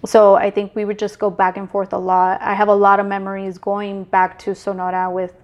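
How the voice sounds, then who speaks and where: A middle-aged woman from Mexico, chatting as a guest in a casual interview, relaxed, with many fillers and restarts. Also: medium pitch, a little fast, muffled recording. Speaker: guest, business manager.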